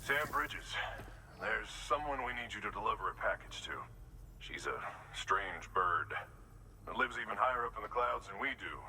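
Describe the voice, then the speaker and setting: Game role: folksy, wry man, lower pitch, very forceful edge, colloquial. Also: lively intonation, moderate pace, slight background noise, exaggerated voice, rough recording. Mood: wry, chatty. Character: wry, folksy male shelter dweller passing on a job. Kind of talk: video game cutscene acting.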